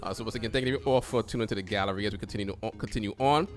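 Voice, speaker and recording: A man's voice: on the low side, rapid-fire, soft background music. A man hosting a live radio show, talking informally with a guest and often circling back to thanks and recaps.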